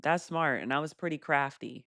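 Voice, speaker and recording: A woman with a fairly deep voice hosting a casual live stream Q&A. She laughs often and reads viewer comments aloud. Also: moderate pace, a bit bright, no room sound, studio quality. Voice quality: deeper voice for a woman.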